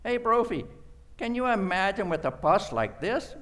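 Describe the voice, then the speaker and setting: Man as a bright-voiced, chatty beat cop. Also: high-pitched, big pitch swings, moderate pace. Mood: breezy. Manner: folksy, informal, talkative. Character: chatty, gullible male neighborhood beat cop. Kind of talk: old-time radio play acting.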